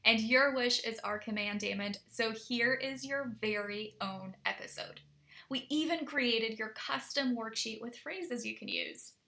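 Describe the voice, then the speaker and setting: A woman hosting a language lesson podcast, upbeat and energetic as she introduces the episode. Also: fairly high pitch, vocal fry. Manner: upbeat and energetic in the intro, slow and deliberate when modelling phrases. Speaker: podcast host and Polish teacher.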